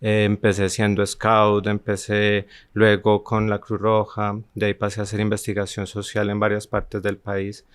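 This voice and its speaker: A man's voice: fairly low pitch, monotone, moderate pace, few pauses, clear, resonant tone, no room sound. A man in his forties from Armenia, Colombia, reminiscing as a podcast guest with frequent hesitations and restarts. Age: 40s. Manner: hesitant, many restarts and fillers. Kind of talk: conversational interview, reminiscing.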